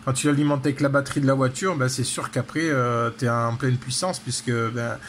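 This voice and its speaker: A man's voice: mid-range voice, fairly even intonation, brisk. A man talking casually and technically as an amateur radio operator, in a hesitant, rambling way full of repeated tag words. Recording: clear background noise.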